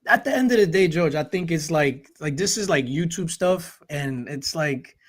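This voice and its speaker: A man's voice: on the high side, lively intonation, normal speed. A man hosting a livestream, earnestly explaining his side at length with fillers. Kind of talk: casual chat.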